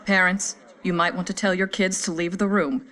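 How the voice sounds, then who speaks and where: A woman's voice: on the low side, level tone, normal speed, fairly bright tone, close to an everyday voice. An actress playing a smug, gushing female TV talk show host in a satirical game cutscene.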